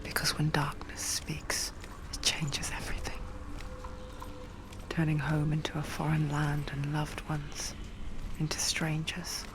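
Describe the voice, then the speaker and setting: A woman voicing a hushed, ominous narrator in a dark fantasy game, confiding slowly and deliberately in a breathy whisper. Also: deep voice, completely flat delivery.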